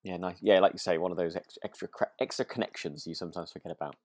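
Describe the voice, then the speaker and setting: A British man co-hosting a casual podcast, speaking in a halting, understated way. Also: sits low, steady tone, a little mellow, no echo, clean studio sound. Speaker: podcast co-host.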